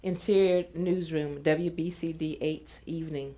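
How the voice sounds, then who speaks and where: A woman narrates an audio drama from a script. Her voice is low and mellow, and she reads out scene headings and stage directions. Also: steady tone, measured pace.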